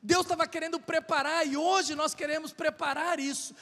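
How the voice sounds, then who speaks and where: A Brazilian man of middle age preaches with a strikingly high-pitched voice. He talks in a relaxed, conversational way and then drives his points home through emphatic repetition. His voice rings through the long reverb of a church hall. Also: a little fast. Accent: Brazilian Portuguese. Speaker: pastor. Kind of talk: sermon. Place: church sanctuary.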